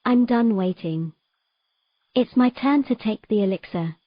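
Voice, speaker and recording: A woman's voice: a little high, unhurried, no room sound, highs cut off. A woman playing a determined, defiant young mermaid with an insistent, urgent delivery in a dramatic audio story. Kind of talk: dramatic audio fiction acting.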